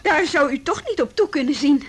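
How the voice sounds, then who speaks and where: A woman's voice: sits high, animated, a little fast. An actress playing a proud, defiant young policewoman in a Dutch radio play, indignant and pointed yet formally polite. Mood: suspicious.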